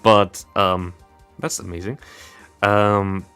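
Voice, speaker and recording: A man's voice: fairly low pitch, level tone, slow pace, pushed and bright, clean tone. A man from Germany with a German accent, casually commentating a game and chatting as a livestreamer in a rambling, self-deprecating way. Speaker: livestreamer and content creator. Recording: music playing behind the voice. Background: background game music.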